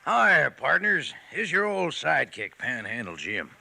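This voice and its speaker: An actor playing a folksy old cowboy pitchman in a 1950s radio ad, with a drawl and playful pauses. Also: very animated, creaky, bright, forceful tone, full character voice. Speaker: sponsor pitchman.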